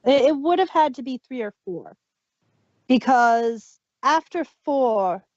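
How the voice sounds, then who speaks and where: A woman's voice: sits high, animated, slow, a little mellow, no room sound. A woman casually chatting as a podcast co-host, laughing often and trailing off mid-sentence, on a dull, muffled recording. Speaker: podcast co-host reviewing an audio drama. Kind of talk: casual chat.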